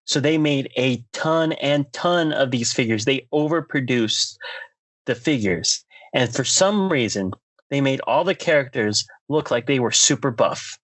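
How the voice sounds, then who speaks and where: An American man hosting a podcast, delivering a comedic rant in a theatrical, mock-announcer way with drawn-out emphasis. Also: mid-range voice, expressive, fairly bright tone, dry recording. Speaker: podcast host.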